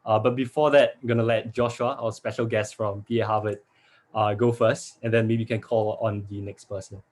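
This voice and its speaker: A man's voice: lowish voice, flat delivery, fairly quick, darkish tone. A young man from Singapore, a university student, presenting in a friendly, organized way on a video call. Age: young adult. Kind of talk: webinar presentation.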